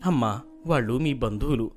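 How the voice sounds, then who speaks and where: A man's voice: medium pitch, normal speed, a little mellow, soft background music, lightly acted. A man voicing a humble, good-hearted young farmhand in a folk tale, earnest and respectful. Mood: earnest, gentle. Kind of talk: acted folk tale dialogue.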